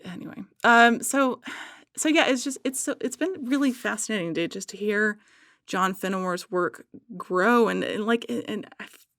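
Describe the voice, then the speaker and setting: A woman's voice: mid-range voice, moderate pace, fairly bright tone, no echo, clean studio sound. A woman guest in a casual podcast conversation, enthusiastic and loosely rambling.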